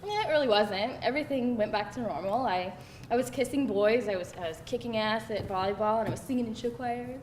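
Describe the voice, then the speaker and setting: A woman's voice: brisk, a little mellow, natural voice. A woman acting a candid, sarcastic young woman at a live screenplay read, in a conversational style. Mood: candid, animated. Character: candid, wry, sarcastic young woman recounting her teens. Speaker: actor at a screenplay table read. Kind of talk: live read of conversational comedy-drama dialogue. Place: live table read in a room. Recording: roomy, distant mic, faint rumbling noise.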